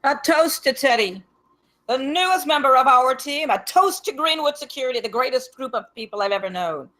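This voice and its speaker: Woman playing a proud, bright-voiced security captain. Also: high voice, lively intonation, a little fast, voice pushed up. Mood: heartfelt. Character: proud, warm-hearted female security captain making a toast. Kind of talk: table read of a comedy script.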